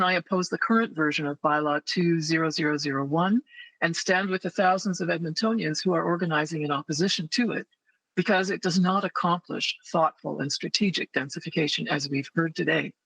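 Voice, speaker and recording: A man's voice: a little high, vocal fry, a bit bright, dry recording, rough recording. A man from Canada giving a prepared, firm public statement at a council hearing, asking pointed questions. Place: council chamber.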